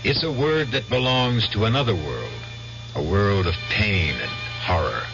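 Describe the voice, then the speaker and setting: Slow, ominous radio drama narrator. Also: male voice, fairly low pitch, lively intonation, music in the background. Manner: theatrical, measured, ominous. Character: ominous, grave male narrator-host. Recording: dull lo-fi radio drama recording.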